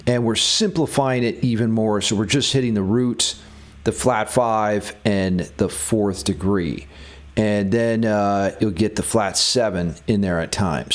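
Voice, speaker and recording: A man giving a casual music theory lesson as a guitar teacher. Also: on the low side.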